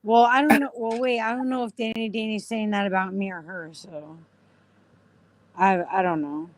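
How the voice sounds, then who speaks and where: A woman's voice: mid-range voice, fairly even intonation, moderate pace, no echo. Rambling woman hosting a livestream chat. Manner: rambling, many fillers and "you know". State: voice getting hoarse. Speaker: livestream host.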